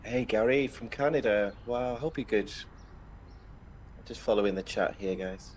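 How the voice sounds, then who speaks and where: A man's voice: on the low side, moderate pace, a little mellow, a little hiss or hum. A Welsh man with some northern English in his accent gives casual, chatty live aviation commentary, greeting viewers in a friendly way. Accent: Welsh with northern English influence. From Wales. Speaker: live stream commentator and host.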